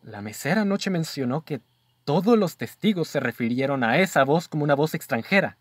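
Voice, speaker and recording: A man's voice: fairly high pitch, lively intonation, a little fast, no echo, studio quality. Man acting an inquisitive, courteous detective's companion. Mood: curious, thoughtful. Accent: Mexican. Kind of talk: student radio drama acting.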